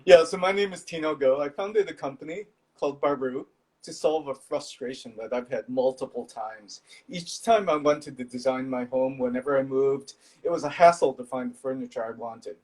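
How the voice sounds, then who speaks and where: Measured man from Indonesia explaining. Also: medium pitch, darkish tone, low-quality recording. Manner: measured, explanatory, some fillers. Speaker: startup founder. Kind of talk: guest explaining his business.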